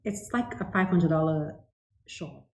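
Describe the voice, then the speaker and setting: A woman's voice: deep voice, unhurried, vocal fry, darkish tone. Chatty woman co-host in echoey room. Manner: chatty, laughs often, trails off with ums. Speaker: podcast co-host. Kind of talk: casual chat about knitting. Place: home living room, indoors.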